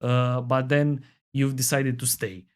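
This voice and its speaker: A man's voice: medium pitch, flat delivery, unhurried. Male podcast host. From Romania. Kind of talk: interview host.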